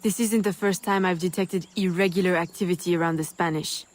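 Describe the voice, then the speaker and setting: Actress as a low-voiced, composed woman assassin. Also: fairly even intonation, lightly acted. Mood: composed, resolute. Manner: measured, self-assured. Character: composed, determined female assassin of 18th-century colonial Louisiana. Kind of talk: video game character acting.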